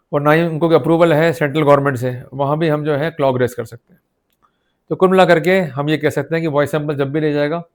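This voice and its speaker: A man with an Indian accent teaching law in an explanatory, didactic lecture style. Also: a little high. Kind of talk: explanatory legal lecture mixing Hindi and English.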